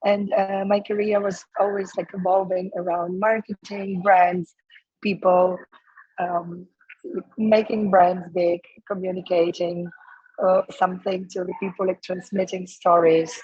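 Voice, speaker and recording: A woman in her thirties from Ukraine, hoarse and losing her voice, talking casually as a guest with many fillers and restarts. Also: fairly low pitch, level tone, a little slow, a little mellow, dry recording, muffled recording. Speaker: guest, startup co-founder. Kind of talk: casual interview answers.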